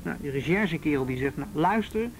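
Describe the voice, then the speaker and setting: An earnest, loyal policeman in a radio play. He has a fairly high voice and a casual, colloquial way of talking, with faint rumble behind him. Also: animated, brisk, rough recording. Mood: defensive, earnest. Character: earnest, admiring policeman defending the force. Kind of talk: Dutch radio drama acting.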